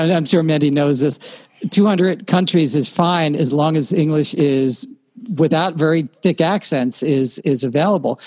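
A man's voice: fairly high pitch, a little mellow. A man co-hosting a tech podcast, explaining at length in a rambling way, with frequent fillers and restarts. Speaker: podcast co-host. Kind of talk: casual tech chat.

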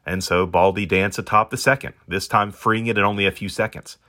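Guy doing a pampered hungry dog narrating a kids story, deep voice, wordy and wry. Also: fairly even intonation, brisk, bright, forceful tone. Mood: exasperated. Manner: wordy, self-important, wry. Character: pampered, proud male pet dog, stranded and hungry. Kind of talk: first-person storybook narration in character.

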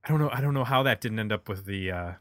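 Bright-voiced man hosting a music podcast. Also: fairly low pitch, fast talker, no room sound. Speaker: podcast host. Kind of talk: casual music discussion.

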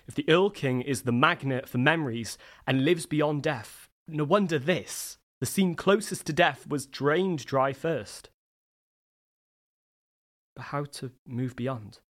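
A man playing a lonely, grieving man in an audio play, speaking a halting, introspective monologue. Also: a little high, fairly bright tone. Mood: brooding. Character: grieving, lonely man questioning himself at a grave.

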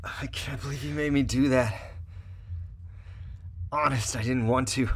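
A man's voice: mid-range voice, fairly even intonation, unhurried, husky. An actor playing a distraught, defensive and guilt-ridden gunman in radio theatre, speaking in short, agitated phrases.

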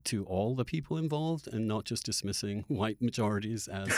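A man's voice: lowish voice, animated, normal speed, a little mellow, no room sound. Articulate male podcast host, dry close sound. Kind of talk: conversational podcast interview.

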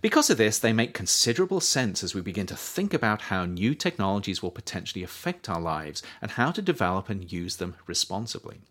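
A man reading his own nonfiction book aloud as scripted audiobook narration, with measured, emphatic phrasing. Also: lowish voice, very animated, fairly bright tone.

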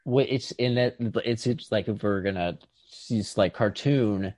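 A man co-hosting a podcast, reflecting casually and haltingly, circling back as he searches for the right words. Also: on the low side, a little mellow, dry recording. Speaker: podcast co-host.

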